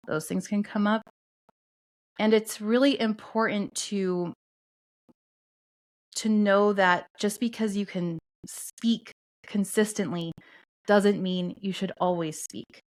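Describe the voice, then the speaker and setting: A woman co-hosting a podcast, calmly explaining astrology and human design concepts in a steady teaching tone. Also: mid-range voice. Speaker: podcast co-host.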